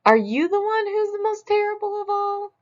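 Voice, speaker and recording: A woman voicing a vain, boastful male monster in a storybook, with a high, shouty way of talking. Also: very animated, measured pace, faint music behind, heavily acted. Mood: demanding. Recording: dull lo-fi recording.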